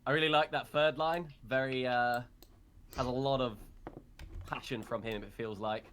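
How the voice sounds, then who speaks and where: A man's voice: mid-range voice, fairly even intonation, normal speed, fairly bright tone, slight background noise. A man chatting casually on a live stream as a voice actor.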